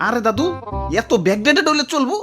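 A woman voicing a brash, scheming young villager in a comic cartoon, pushy and excitable, with a Bangladeshi accent. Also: high-pitched, fast. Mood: scheming, cajoling. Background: loud background music.

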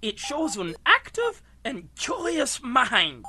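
A theatrical, exasperated professor for a spoof radio space serial. The voice is bright and piercingly high, drawn out with condescension. Faint noise sits behind it. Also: female voice, wildly swooping pitch, takes it slowly, exaggerated voice. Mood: explaining. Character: exasperated, pedantic futuristic professor of medicine. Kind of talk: parody old-time radio serial acting.

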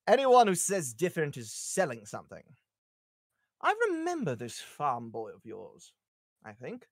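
A man's voice: fairly high pitch, huge pitch swings, a little slow, long pauses, dry recording, studio quality, heavily acted. A man playing a masked pirate, coldly courteous and sardonic, in a live script read. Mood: cynical. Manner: sardonic, theatrical. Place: indoors, on a group video call.

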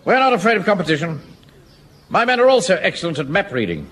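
An actor playing a pompous, officious middle-aged Home Guard captain in a radio sitcom. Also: male voice, sits high, big pitch swings, fairly bright tone, heavily acted. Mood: bossy. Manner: brisk, bossy, officious. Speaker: pompous platoon commander. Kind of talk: 1970s radio sitcom acting. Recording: hissy old radio recording.

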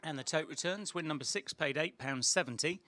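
Higher-pitched male racecourse announcer. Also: a little fast, bright, forceful tone, slight background noise. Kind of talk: formal results announcement.

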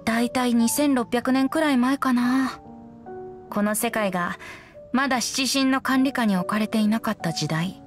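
Woman as high-voiced, boyish wandering bard spirit. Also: soft background music, close to an everyday voice. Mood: wistful. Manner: lyrical, unhurried storytelling. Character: youthful boyish wind spirit bard, gentle and wistful. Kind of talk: anime video game voice acting, poetic narration.

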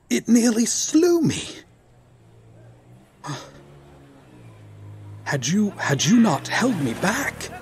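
A man's voice: fairly high pitch, a little hiss or hum, exaggerated voice. A man playing a proud, courteous medieval knight out of his time in an audio roleplay, with formal, archaic, theatrical phrasing. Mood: startled. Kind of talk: ASMR roleplay acting.

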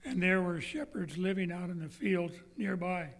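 An older man with laryngitis, reading scripture aloud at a church lectern microphone in a measured, careful way, his throat catching now and then. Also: high-pitched, a little hiss or hum. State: hoarse from laryngitis. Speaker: scripture reader. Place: church sanctuary, indoors. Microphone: lectern microphone on a stand.

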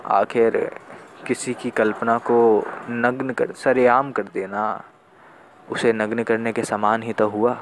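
A young man reciting spoken-word poetry in a deliberate, dramatic manner, with weighted pauses and repeated phrases. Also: medium pitch, level tone, a little hiss or hum, rough recording. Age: young adult. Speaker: student poet.

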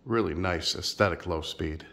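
A man filming a casual home vlog in his sunroom, a little nasal and stuffed up from a sinus infection, talking in a relaxed, rambling, friendly way. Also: low-pitched, moderate pace. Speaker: ceiling fan collector vlogger. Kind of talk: casual show-and-tell vlog.

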